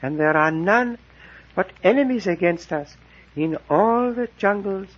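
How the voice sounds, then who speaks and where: A man's voice: sits high, expressive, heavy background noise. A man playing a wounded Cambodian priest in a 1940s radio drama, speaking formally with solemn grief. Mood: solemn, grieving. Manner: slow, formal, stilted speech. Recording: muffled vintage 1940s radio recording.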